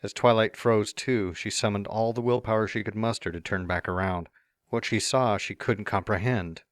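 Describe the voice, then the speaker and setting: A man narrates a storybook tale in a very low, deep voice, slipping into a cocky, teasing tone for the hero's own lines. The sound is close and dry, with no room around it. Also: fairly even intonation, moderate pace, clear, resonant tone, no echo. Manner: first-person narration with playful smug asides. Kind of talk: fan dramatic reading of a story.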